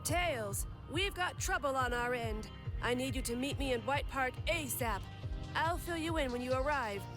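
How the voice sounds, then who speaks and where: A high-voiced female cartoon agent acted by a woman, brisk and all business. She delivers her message in comic dub style, with music clearly behind her. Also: animated. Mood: brisk, urgent. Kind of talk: animated comic dub acting. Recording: music under the voice.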